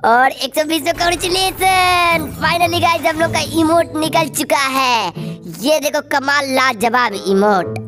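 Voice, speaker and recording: A woman's voice run through a voice changer, hosting casual gameplay commentary, chatty and repeating phrases. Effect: voice changer raising the pitch. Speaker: gaming video host. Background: background music.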